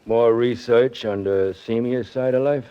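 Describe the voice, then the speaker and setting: An actor playing a shady flophouse desk clerk with smug, mock-courteous manners in a 1940s radio crime drama. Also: male voice, mid-range voice, fairly even intonation, measured pace, smooth, natural voice. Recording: muffled vintage 1940s radio recording.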